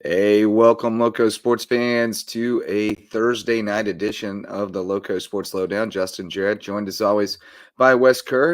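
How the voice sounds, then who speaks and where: A hoarse man co-hosting a local sports podcast in a casual, rambling way. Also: lowish voice, dry recording, studio quality. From South Carolina. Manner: rambling, filler-heavy. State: hoarse, tired voice. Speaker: podcast co-host.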